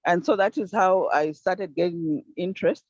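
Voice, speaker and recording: An older woman from Zambia giving an earnest, deliberate talk on an online conference call, stressing key words. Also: lowish voice, darkish tone, no room sound. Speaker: panel speaker. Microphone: video call. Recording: dull dry video call audio.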